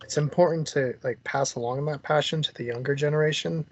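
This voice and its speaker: A man's voice: fairly high pitch, fairly quick. A man hosting a casual conversational interview in an encouraging, agreeable manner. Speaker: interview host.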